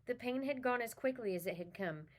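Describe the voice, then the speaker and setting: A woman's voice: on the high side, expressive, a little fast. A woman reading a children's story aloud, talking to her listeners in a friendly, informal way. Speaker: read-aloud reader for children. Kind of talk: children's book read-aloud.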